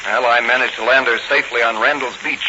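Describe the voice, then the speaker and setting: An earnest, self-assured crime-fighting hero played by a man speaks at a middle pitch. The delivery is classic 1940s radio acting, on a dull recording with clear noise. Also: steady tone, fast talker, lightly acted.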